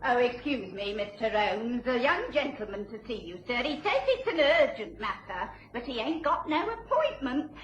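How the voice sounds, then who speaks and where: Housekeeper in a radio play, polite n chatty, swoopy pitch, roomy dull recording. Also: female voice, sing-song, moderate pace, few pauses. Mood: deferential, apologetic. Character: deferential, chatty working-class housekeeper announcing visitors. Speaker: actress playing housekeeper. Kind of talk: radio drama acting.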